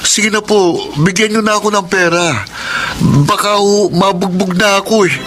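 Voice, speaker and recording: Pleading young gambler son, highish voice. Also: male voice, steady tone, moderate pace, a bit bright, faint music behind. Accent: Filipino. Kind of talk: radio drama acting. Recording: noisy radio drama recording.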